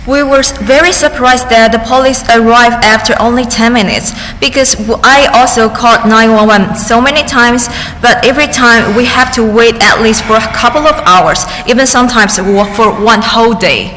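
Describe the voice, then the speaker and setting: A woman interpreting testimony into English at a public hearing, halting at times, with restarts and cut-off words. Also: a little high, bright, forceful tone, slight background noise. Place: large public hearing chamber. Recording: echoey hearing room with faint ambience.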